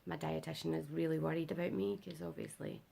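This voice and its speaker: A young British woman talks to camera in a low, deep register, her voice heavily gravelly and rough from illness. She rambles through a casual update full of fillers, sounding worn out and short on sleep. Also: level tone, fast talker, dark tone. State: unwell and sleep-deprived. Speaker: vlogger. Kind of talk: casual health update vlog.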